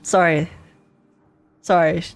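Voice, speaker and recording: A woman streaming a game, giving casual, chatty and expressive reaction commentary as she plays. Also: lowish voice, slow pace. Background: game music.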